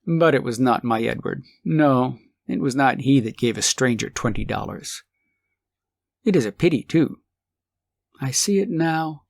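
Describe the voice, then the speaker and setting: A man's voice: mid-range voice, animated, a little slow, gravelly, dry recording. A man voicing a poor old wife, timid, fretful and anxious, in an audiobook story. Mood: resigned. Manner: sighing, fretful worrying.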